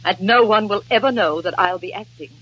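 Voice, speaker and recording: A melodramatic amateur actress, high and bright. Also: female voice, sing-song, normal speed. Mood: wounded dignity. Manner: declamatory, overwrought. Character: melodramatic, easily wounded amateur actress playing a grand lady. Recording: dull, noisy old broadcast recording.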